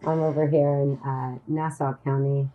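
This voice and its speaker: A woman's voice: rumbling low, level tone, normal speed, narrow, tinny audio. A middle-aged woman from Oklahoma, a podcast guest chatting in a friendly, easygoing way. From Tulsa, Oklahoma. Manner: friendly, laughs easily. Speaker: podcast guest, nonprofit founder. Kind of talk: casual interview chat.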